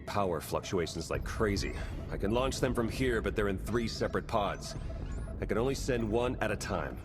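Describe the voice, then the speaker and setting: An actor playing a pragmatic, gruff soldier aboard a starship in a video game, talking bluntly and with urgency. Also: male voice, on the low side, expressive, normal speed, big-room sound, close to an everyday voice. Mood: urgent. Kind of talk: video game cutscene acting. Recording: game music under the voice.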